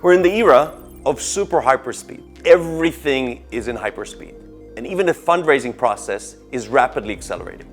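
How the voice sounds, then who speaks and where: A man's voice: mid-range voice, expressive, a little fast. A man delivering a scripted statistic with emphasis, as an industry expert. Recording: faint music underneath.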